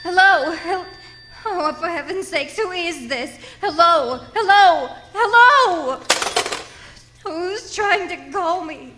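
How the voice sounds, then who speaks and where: Bedridden invalid wife, high-pitched, fretful and demanding, theatrical radio thriller acting, some background noise. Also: female voice, expressive, slow pace, pushed and bright, heavily acted. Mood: agitated. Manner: imperious, complaining, overwrought theatrical delivery. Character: nervous, demanding, self-pitying bedridden invalid wife, increasingly hysterical.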